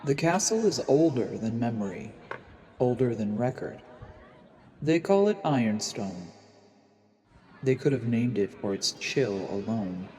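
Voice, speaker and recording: A man playing a coldly calculating assassin who poses as a lord, narrating gravely and deliberately in a fantasy audio drama. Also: mid-range voice, lively intonation, dark tone, slight background noise, close to an everyday voice. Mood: brooding, menacing.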